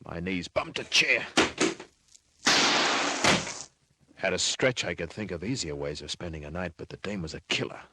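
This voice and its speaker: An Australian actor playing a tough, wisecracking private detective in an old-time radio crime drama, narrating in terse, clipped slang with a rough edge. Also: male voice, deep voice, expressive, fairly quick, bright, forceful tone, a little hiss or hum, full character voice. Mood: tense, dry.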